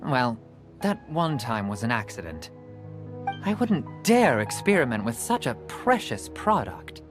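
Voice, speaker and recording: A man's voice: mid-range voice, big pitch swings, unhurried. An actor playing a jovial, wheedling cloth merchant in a video game, with theatrical salesman's patter. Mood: jovial, persuasive. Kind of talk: animated video game voice acting. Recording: music under the voice.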